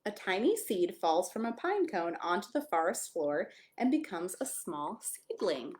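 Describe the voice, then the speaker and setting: Very animated woman reading to children. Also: on the low side, measured pace. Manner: expressive, addresses young children with questions and exclamations. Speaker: pastor reading a children's story. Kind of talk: children's picture-book read-aloud with friendly asides.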